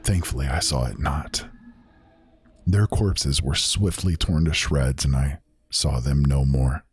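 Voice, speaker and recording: An actor playing a haunted, grief-stricken man who solemnly and haltingly recounts fantasy horrors in archaic phrasing. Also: very deep voice, slightly rough, no room sound, exaggerated voice. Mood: horrified, dread. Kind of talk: dramatic first-person fantasy narration.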